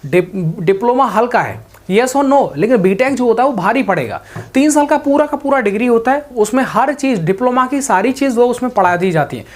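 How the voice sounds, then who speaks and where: A man's voice: very high voice, expressive, a little fast. A man hosting an explanatory talk in a didactic way, mixing in English words and often checking that listeners follow. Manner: mixes English words into speech. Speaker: host of a job exam news channel.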